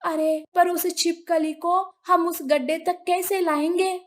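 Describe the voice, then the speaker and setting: Cartoon mother hen, female performer: very high-pitched, slow, scolding and plaintive, easily worked up. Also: steady tone. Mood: anxious plotting. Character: indignant, anxious mother hen. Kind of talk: animated children's moral story.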